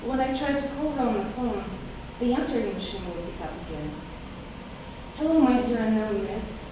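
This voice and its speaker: A woman's voice: on the high side, animated, moderate pace. A woman whose first language is Russian reads her own poem, speaking hesitantly and emotionally with many fillers. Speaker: poet reading her work. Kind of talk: poetry reading with spoken intro. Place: echoey hall, recorded from afar. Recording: distant, echoey, noisy room recording.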